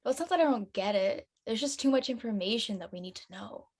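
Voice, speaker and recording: High-voiced, anxious studious teen girl acted by a woman. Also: lively intonation, fast, a little mellow, slightly rough. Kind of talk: online dramatic table read.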